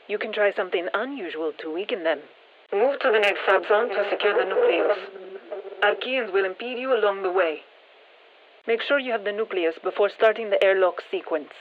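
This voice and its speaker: An actress playing a composed scientist who gives soldiers matter-of-fact, dryly wry mission instructions through a radio filter in a game. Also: female voice, medium pitch, phone-quality sound. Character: composed female scientist-engineer guiding soldiers against alien parasites. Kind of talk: scripted game mission briefing.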